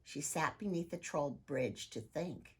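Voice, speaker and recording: A woman narrates a children's story aloud. Her voice sits a bit low and has a husky grain, with expressive, widely varied intonation. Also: unhurried. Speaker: storybook reader.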